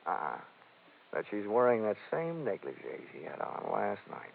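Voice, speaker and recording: An actor playing a wisecracking, hard-boiled American insurance investigator in a 1950s radio detective drama, with wry, clipped delivery. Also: male voice, lowish voice, big pitch swings, moderate pace, creaky, very noisy, exaggerated voice. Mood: brisk, intent. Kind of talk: 1950s detective radio drama acting, first-person narration. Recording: tinny 1950s radio recording, faint music.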